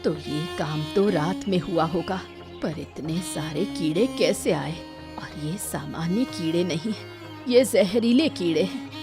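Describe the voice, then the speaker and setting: A woman's voice: fairly low pitch, unhurried, over music. A woman playing a worried, shrewd old village mother in a moral-story cartoon. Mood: worried, suspicious. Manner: lamenting, suspicious.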